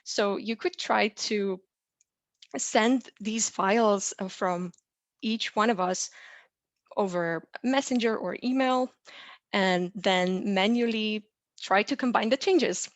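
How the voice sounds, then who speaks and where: A young adult woman from Romania, a software engineer, presents a tutorial in a clear, explanatory way with frequent "uh" and "um" fillers. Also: medium pitch, slow pace, no echo. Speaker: software engineer teaching a lesson.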